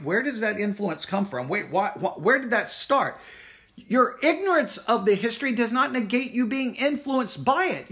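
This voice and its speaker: A church teacher speaks informally and directly to listeners. The pitch moves widely and expressively. The delivery is emphatic and challenging, built on rhetorical questions and repeated phrases. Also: fairly bright tone. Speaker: Bible teacher at a Baptist church.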